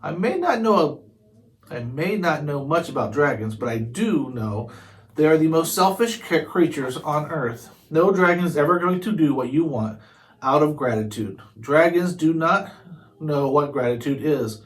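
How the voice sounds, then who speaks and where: A man's voice: a little high, expressive, normal speed, lightly acted. Storybook role: doubting Viking lad, blunt, full of complaints, read by a man. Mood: skeptical. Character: Fishlegs, skeptical complaining young Viking boy.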